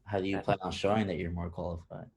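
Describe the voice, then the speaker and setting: A man's voice: deep voice, little pitch movement, fast talker, darkish tone. A man interviewing a guest, asking questions in a casual, somewhat hesitant way.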